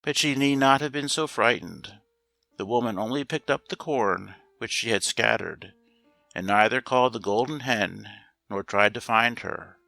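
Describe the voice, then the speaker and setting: A man narrating a scripted fairy tale as a storyteller, reading aloud in a measured, expressive way. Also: medium pitch, creaky. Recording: roomy echo, faint music behind.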